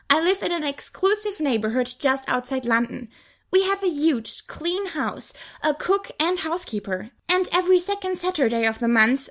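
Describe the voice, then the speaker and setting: An indignant teenage girl from a fantasy storybook, voiced by a woman. She is high-pitched and bewildered, quick to snap back and protest. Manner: snappy, protesting.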